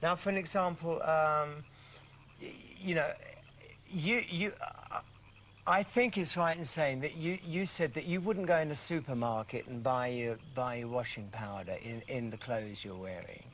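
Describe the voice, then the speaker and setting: Excitable, teasing chat show host man. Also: on the high side, normal speed, slight background noise. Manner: flamboyant, teasing and excitable, with frequent restarts. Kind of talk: camp, jokey chat show hosting.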